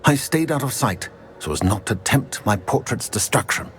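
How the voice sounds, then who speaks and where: A man's voice: medium pitch, expressive, moderate pace, fairly bright tone, natural voice. An actor playing the living portrait of a dignified old male wizard in a video game, formal and grave. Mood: grave, reserved. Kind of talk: video game cutscene acting. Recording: music under the voice. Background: music.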